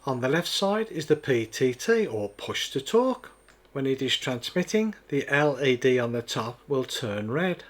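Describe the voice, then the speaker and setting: A man's voice: medium pitch, big pitch swings, unhurried. A man narrating a scripted product demonstration in a methodical, step-by-step explaining manner. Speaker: product reviewer.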